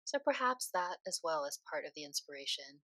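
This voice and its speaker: A woman leading a guided meditation in a soothing, unhurried way, speaking phrase by phrase with pauses. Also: low voice, very animated, pushed and bright, no echo.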